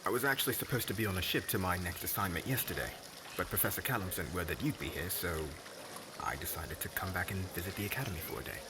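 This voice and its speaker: A man's voice: sits low, animated, fast talker, very noisy. A man playing an earnest, polite and somewhat hesitant fire mage hero in an ASMR roleplay. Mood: earnest, nervous. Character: earnest heroic young male fire mage, shy suitor.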